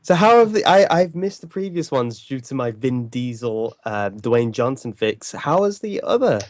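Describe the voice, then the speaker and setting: Man, mid-pitched, casual joking chat, self-deprecating, dry close mic. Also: big pitch swings, moderate pace, dry recording. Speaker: livestream guest and voice performer.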